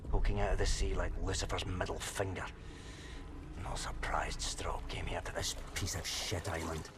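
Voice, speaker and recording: An actor playing a cynical, world-weary soldier with a gravelly voice in a video game cutscene. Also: male voice, low voice, little pitch movement, normal speed, background noise, lightly acted. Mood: sardonic, weary. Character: gruff, cynical adult male soldier, sardonic and weary.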